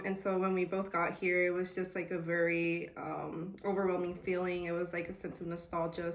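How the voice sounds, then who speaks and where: Gentle, lower-voiced young woman. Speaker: summer school student. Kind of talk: casual testimonial.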